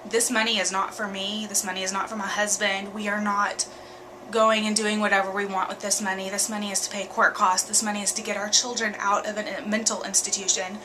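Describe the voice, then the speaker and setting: A young woman, talking candidly in a home vlog, earnest and full of ums and pauses. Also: mid-range voice, flat delivery, noisy background. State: congested with a cold, sniffly. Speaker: vlogger. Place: bedroom at night.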